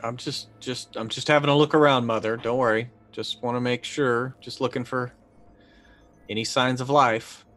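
A man's voice: mid-range voice, steady tone, moderate pace, fairly bright tone. A middle-aged man playing a tabletop roleplaying game, narrating casually and thinking aloud in hesitant bursts. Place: indoor room, on a video call.

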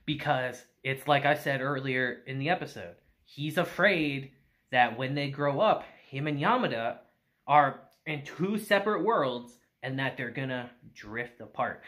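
A man in his thirties reviewing anime on camera in a small room, animated and gushing, laughing often. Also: fairly high pitch. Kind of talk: excited casual review.

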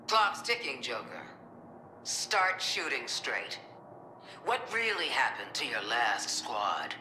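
A commanding woman director in a video game, stern and suspicious. Her voice is very forceful and cutting. She is blunt and impatient, heard in a roomy space with slight noise. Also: extremely low pitch, sing-song, takes it slowly, full character voice. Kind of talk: dramatic video game voice acting.

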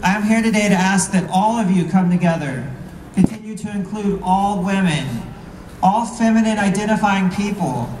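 A man with a high voice gives an earnest, deliberate speech at an outdoor rally. He is heard from far back over an echoing PA, with clear noise around him. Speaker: rally speaker. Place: outdoor rally, recorded from within the crowd. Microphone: PA heard from far camera. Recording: distant, echoey outdoor PA with noise.

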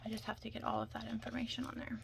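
Soft-spoken woman, half whispering. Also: on the high side, monotone, racing. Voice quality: soft, breathy, often dropping into whisper. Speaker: ASMR roleplayer playing a makeup artist. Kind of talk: ASMR soft-spoken roleplay. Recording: clear background noise.

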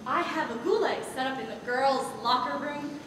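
A teenage girl playing a tyrannical, threatening enforcer in a high school stage comedy. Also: lightly acted. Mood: menacing. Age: teens. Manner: deliberate, threatening, bombastic. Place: large theater stage, filmed from the audience. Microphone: far camera. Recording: far-off echoey auditorium, noisy.

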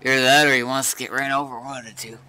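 Sharp, bright-voiced male gamer. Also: mid-range voice, flat delivery, racing, slight background noise, low-quality recording. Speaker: fellow player in online game. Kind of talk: casual in-game chat.

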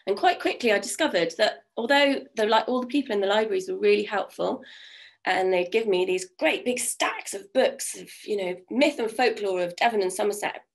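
A woman in her fifties with a croaky voice from a cold, telling folk stories in a chatty way on a video call from home. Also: medium pitch, brisk. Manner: warm, chatty, with many fillers. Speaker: storyteller. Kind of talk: oral storytelling. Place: home room. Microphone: video call webcam.

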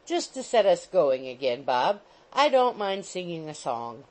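A woman voicing a hearty young gentleman in an audiobook, bold, jovial and eager for mischief. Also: on the low side, big pitch swings, unhurried, poor audio.

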